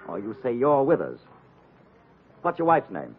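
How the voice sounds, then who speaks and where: An actor playing a slippery, defensive prisoner in an old-time radio adventure. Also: male voice, medium pitch, wildly swooping pitch, unhurried. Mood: defensive, protesting. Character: protesting, slippery prisoner posing as British agent. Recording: muffled, noisy vintage radio recording.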